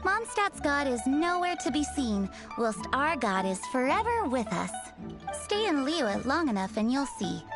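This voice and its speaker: Fantasy game townswoman: high voice, proud, devout, lecturing, music behind. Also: very animated, a little slow. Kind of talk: scripted video game dialogue.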